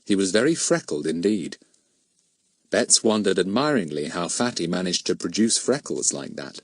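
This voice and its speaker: A man narrating a children's story from a script in an expressive, animated way. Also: low voice, moderate pace, dry recording. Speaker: audiobook narrator.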